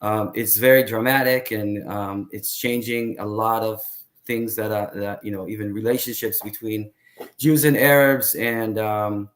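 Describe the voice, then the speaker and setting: A man in his thirties from Israel, talking as an interview guest in a hesitant way, with many fillers and pauses. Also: fairly low pitch, steady tone. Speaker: guest, tour guide. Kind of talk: casual interview talk.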